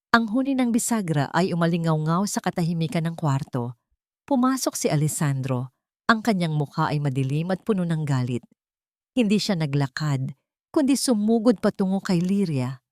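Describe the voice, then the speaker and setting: Woman reading a dramatic audiobook story, slightly low voice, really dry sound. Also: darkish tone, no room sound. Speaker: audiobook narrator. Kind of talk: dramatic scripted story narration.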